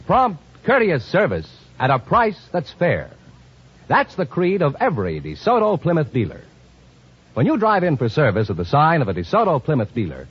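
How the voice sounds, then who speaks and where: A man's voice: fairly high pitch, breathy edge. A man reading scripted sponsor announcements in a polished, salesmanlike way on a muffled 1950 radio broadcast.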